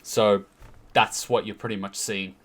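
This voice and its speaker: A man's voice: lowish voice, little pitch movement, unhurried, a bit bright. A man hosting a video, giving casual explanatory commentary and often hesitating as he searches for words. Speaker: video host.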